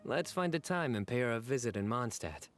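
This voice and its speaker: A serious young video game character voiced by a man, with a medium-pitched voice. He is earnest and dry-humored, lively in inflection yet deadpan in wit, and music plays clearly behind him. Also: a little fast, natural voice. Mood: warm respect. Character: serious young man, deadpan joker, respectful former pupil.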